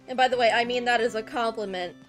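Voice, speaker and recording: A woman's voice: on the high side, fast, faint music behind. A woman streamer giving casual, informal commentary as she plays a game.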